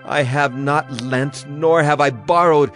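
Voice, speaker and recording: A man's voice: a little high, fairly even intonation, slow pace, a bit bright. A man playing a sorrowful, earnest prophet in a dramatized scripture reading, solemn and declamatory. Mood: anguished pleading. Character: Jeremiah, sorrowful, earnest male Hebrew prophet. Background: music bed.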